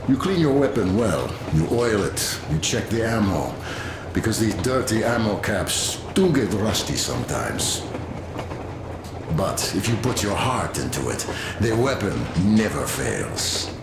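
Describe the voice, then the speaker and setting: An actor playing a loyal, meticulous soldier and gunsmith in a video game, chatty and earnest. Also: male voice, lowish voice, big pitch swings, unhurried, exaggerated voice. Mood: chatty, proud. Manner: earnest, chatty, fussy about gear. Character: loyal, meticulous male soldier and weapons tinkerer. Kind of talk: dramatic video game voice acting. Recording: roomy, clear train noise behind.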